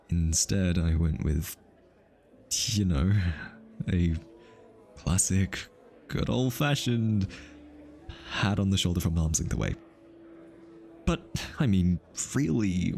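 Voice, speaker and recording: Casting: male actor as a smitten, stammering assassin for ASMR audio drama; low register, unhurried delivery, nervous over-explainer. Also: gravelly, dark tone, exaggerated voice. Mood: flustered, awkward. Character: easily flustered, lovestruck male hitman, awkward and analytical. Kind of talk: intimate ASMR audio roleplay.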